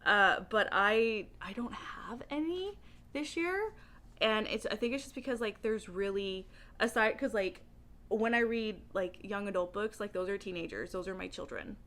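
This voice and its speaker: A woman chatting casually and informally as a book vlogger, animated and emphatic, often giggly as she talks. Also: mid-range voice, normal speed. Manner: giggly, laughs often while talking. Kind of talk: casual chatty video monologue.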